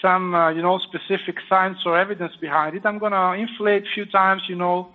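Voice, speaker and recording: Halting French-accented man on a tinny line. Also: high voice, flat delivery. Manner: explanatory, halting with many fillers. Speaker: surgeon performing a live procedure. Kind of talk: live procedure commentary.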